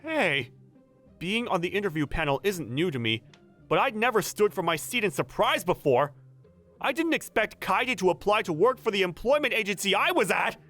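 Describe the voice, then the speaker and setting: A man's voice: sits high, big pitch swings, normal speed, fairly bright tone, soft background music. An actor playing an earnest, protective young male manager who narrates a romcom manga dub in first person. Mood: flustered. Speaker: narrator and lead character.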